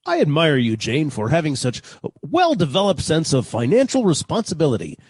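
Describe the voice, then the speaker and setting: A stuffy, well-bred gentleman suitor, played by a male actor in an old-time radio comedy. His husky voice swoops extravagantly as he speaks with formal, effusive politeness in a dry recording. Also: a little high, huge pitch swings, fairly quick, no echo, heavily acted. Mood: approving. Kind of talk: 1940s radio comedy acting.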